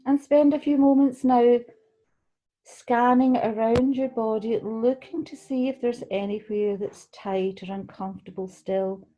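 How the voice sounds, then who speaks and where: A woman leading a guided relaxation meditation, speaking gently and soothingly, unhurried, with pauses between phrases. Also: a little high, steady tone, highs cut off. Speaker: guided meditation leader, tai chi qigong teacher.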